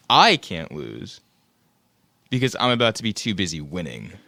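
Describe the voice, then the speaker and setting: A man's voice: sits low, big pitch swings, unhurried, vocal fry, pushed and bright, dry recording, exaggerated voice. A man playing a cocky, clueless young guy in an audio play, with a casual, self-justifying way of talking. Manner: casual, stammering when defensive.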